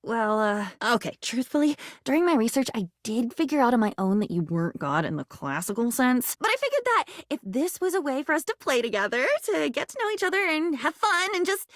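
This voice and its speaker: A woman's voice: high voice, big pitch swings, fairly quick, hardly any pauses, no echo, clean studio sound. A woman voicing a young, earnest, dreamy living object in a video game, whimsical and theatrical, mixing flowery formal words with chatty asides. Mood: playful, sheepish. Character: female living diary, young, earnest, dreamy, newly self-aware. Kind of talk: video game character voice acting.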